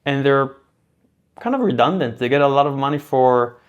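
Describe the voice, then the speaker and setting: A man in his thirties from Israel with a Hebrew accent, a guest chatting casually in an interview, relaxed and full of fillers and restarts. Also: medium pitch, moderate pace, clear, resonant tone, muffled recording.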